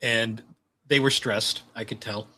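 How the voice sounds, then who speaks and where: A young man with a low voice, co-hosting a podcast in casual chat, laid-back and chuckling through his stories. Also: steady tone, measured pace, bright, forceful tone, a little hiss or hum. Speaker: podcast co-host.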